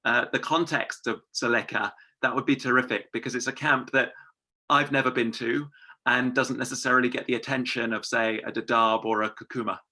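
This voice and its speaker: A man's voice: mid-range voice, steady tone, fairly quick, sharp tone. A man moderating a seminar, courteously putting several questions at once to a guest. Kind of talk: interview questions.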